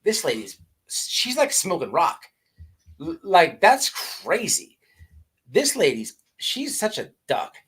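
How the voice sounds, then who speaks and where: A man's voice: fairly high pitch, wildly swooping pitch, slow pace, a bit bright, husky. A man hosting a casual livestream, ranting and chatting to viewers in profane slang, often stammering and repeating his words. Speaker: livestream host.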